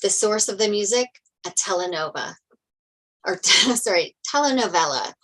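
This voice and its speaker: A woman's voice: medium pitch, animated, normal speed, a bit bright, low-quality recording. A woman reading stage directions as narrator in a table read of a TV script.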